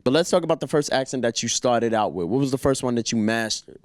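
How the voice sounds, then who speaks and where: A man hosting a casual interview, asking relaxed, friendly questions and laughing along with his guest. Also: medium pitch, fast, no room sound, studio quality.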